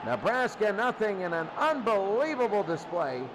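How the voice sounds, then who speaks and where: A man with a high-pitched voice gives informal play-by-play football commentary. He drops in asides as he goes, over clearly audible background noise. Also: big pitch swings, moderate pace. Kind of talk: live sports commentary. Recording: clearly noisy game broadcast.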